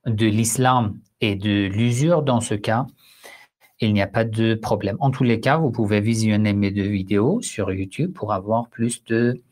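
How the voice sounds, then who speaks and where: Slow, deliberate man, hosting an online religious Q&A; patient explainer, hesitant fillers. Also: lowish voice, a little mellow. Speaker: Islamic Q&A host. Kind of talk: live online Q&A answering listeners.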